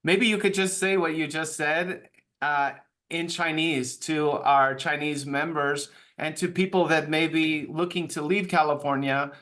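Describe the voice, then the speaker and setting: A man's voice: fairly high pitch, steady tone, measured pace, a bit bright. A man hosting an interview, asking long rambling questions with fillers and casual phrasing. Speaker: show host.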